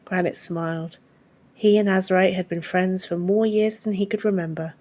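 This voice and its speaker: A woman's voice: on the low side, fairly even intonation, moderate pace, a little mellow. A woman reading a fantasy story as a scripted audiobook narration.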